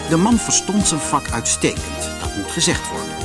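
A man with a Netherlands Dutch accent reads a scripted fairy tale as an expressive audiobook narrator. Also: on the high side, normal speed, loud music behind the voice. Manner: expressive storytelling.

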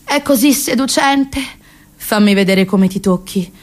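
A woman plays a romance-novel Casanova, dropping well below the performer's natural pitch. He is smug and commanding, delivering seductive lines with pompous seriousness in a parody. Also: unhurried, natural voice.

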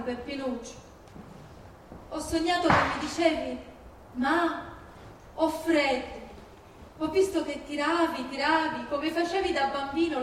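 A woman's voice: high-pitched, close to an everyday voice. A woman playing a grieving, bitter mother speaking to her dead son in a theatrical monologue. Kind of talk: theatrical dramatic monologue in a staged reading. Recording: very echoey, distant, faint noise.

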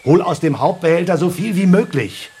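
A man's voice: high voice, brisk, dark tone, soft background music, lightly acted. An actor playing an anxious, exasperated spaceship crewman in a German radio play, with agitated, urgent delivery. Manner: agitated, urgent questioning, muttered asides.

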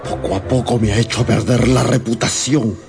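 A man's voice: medium pitch, fairly even intonation, normal speed, vocal fry, natural voice. A man playing a broken old father in a radio drama, tormented and theatrical. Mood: anguished, despairing. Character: broken, anguished old gentleman, a ruined father. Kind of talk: Spanish-language radio drama acting. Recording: music behind the voice.

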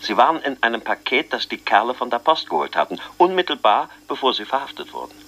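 A weary, determined detective. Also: male voice, medium pitch, animated, fast, a bit bright. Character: weary, determined middle-aged male detective.

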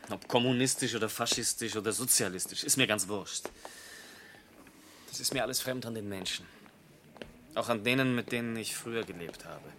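A man's voice: medium pitch, fairly bright tone, slight background noise, lightly acted. An actor playing an embittered, proud, shabby young man in a radio drama, agitated and self-deprecating. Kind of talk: German radio drama acting.

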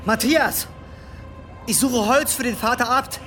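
An actor playing a timid, superstitious monk in a German audio drama, nervous and prone to murmuring. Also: male voice, very high-pitched, a little slow, sharp tone, faint music behind, heavily acted. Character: timid, superstitious monk who tends the pigeons.

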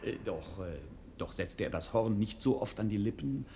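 An actor playing an impudent, gluttonous court fool in a comic radio play, clownish and full of sighs and exclamations. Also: male voice, on the low side, animated, moderate pace, creaky, mellow. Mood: mock lament. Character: cheeky, gluttonous male court fool, wily and irreverent.

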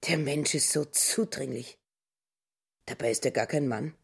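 A woman's voice: very deep voice, unhurried, gravelly, slightly rough, full character voice. A woman playing a vain, indignant middle-aged wife in a radio play, gossipy and complaining.

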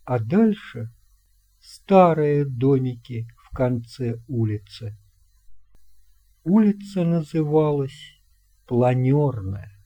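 A narrator reading a children's tale in a measured, expressive storytelling manner. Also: medium pitch, lo-fi sound. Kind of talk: scripted audiobook narration of a children's fairy tale.

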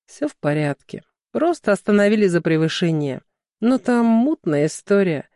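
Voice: mid-range voice, very animated, normal speed, a little mellow, no echo, clean studio sound. A narrator voicing a man of nearly thirty, a driver in love, in an audiobook. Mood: reassuring.

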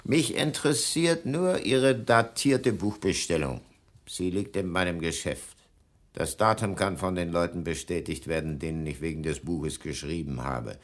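An actor playing a cold-blooded blackmailer in a radio crime drama, speaking in a deliberate, condescending and commanding way. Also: male voice, sits low, lightly acted. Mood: coldly menacing. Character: menacing, cold-blooded male bookseller turned blackmailer.

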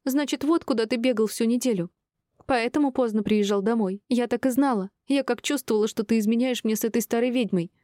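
A woman voicing a shrill, hysterical wife in her thirties who yells tearful accusations in an audiobook drama. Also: expressive, normal speed, few pauses, no echo, clean studio sound. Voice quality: shrill, squealing.

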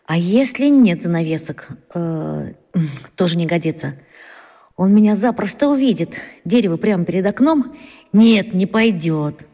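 A woman's voice: on the low side, animated, normal speed, dark tone. An actress playing a self-important schoolboy detective, muttering his schemes to himself in bursts, in a children's radio play. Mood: scheming. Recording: long reverb, as inner thoughts.

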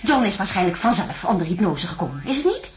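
A woman's voice: mid-range voice, very animated, fast talker, faint music behind, natural voice. An actress playing a distraught, stubborn woman in a radio drama, emotional and reproachful. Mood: accusing. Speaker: voice actor.

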